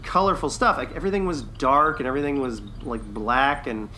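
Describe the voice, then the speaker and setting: Man, bright forward voice, slightly high, casual podcast chat, loose halting delivery, background noise. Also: lively intonation. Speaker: podcast co-host.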